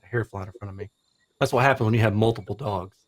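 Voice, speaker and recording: A man's voice: fairly low pitch, fast. A man in his forties hosting a casual technical interview, informal and given to conversational asides. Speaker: host, interviewer.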